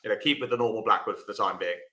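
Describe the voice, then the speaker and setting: Bloke teaching live online, chatty, says hi to viewers, bright voice in a roomy echoey space. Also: male voice, on the low side, rapid-fire. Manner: chatty, talks to viewers by name while explaining. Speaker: bridge teacher.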